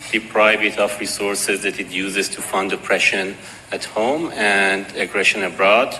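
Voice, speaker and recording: A man with a Persian accent giving a conference presentation, measured and dotted with hesitations. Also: on the low side, level tone, pushed and bright. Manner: measured, with frequent uh fillers and restarts. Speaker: conference speaker. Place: conference hall. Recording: long hall reverb, faint noise.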